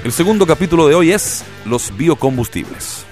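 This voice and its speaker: A man's voice: fairly high pitch, expressive, moderate pace, a little mellow. A man hosting a program with scripted radio narration. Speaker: program host. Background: faint guitar music.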